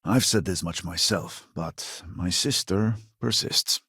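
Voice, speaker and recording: Husky, formal scholar. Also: male voice, low-pitched, sharp tone, no echo, studio quality, heavily acted. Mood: measured, formal. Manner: formal, measured, articulate, precise wording. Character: male scholarly researcher, earnest, weary, formal elder brother. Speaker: game voice actor. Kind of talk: video game character dialogue.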